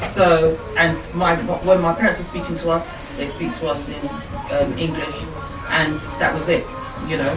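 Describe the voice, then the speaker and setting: A woman's voice: sits low, flat delivery, normal speed, hardly any pauses. A woman speaking hesitantly and thoughtfully in a group discussion. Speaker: support group member. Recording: echoey room, distant mic, music behind.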